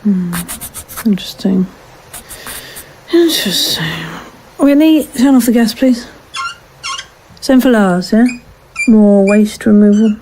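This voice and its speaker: A woman playing a callous, sardonic scientist in an audio drama, with halting, mocking asides. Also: medium pitch, dark tone, a little hiss or hum, lightly acted, poor audio. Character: callous, driven female scientist, sardonic and ruthless.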